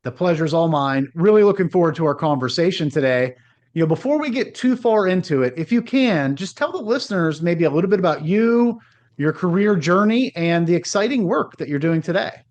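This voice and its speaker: A man's voice: on the high side, animated, fairly quick, darkish tone. A man hosting a podcast interview, reading a polished introduction and then asking friendly questions. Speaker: podcast host.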